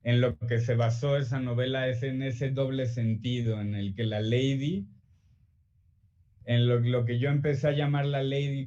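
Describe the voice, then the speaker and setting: A young Mexican man, an author answering interview questions in a relaxed, hesitant way full of fillers and restarts. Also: mid-range voice, lo-fi sound, slight background noise.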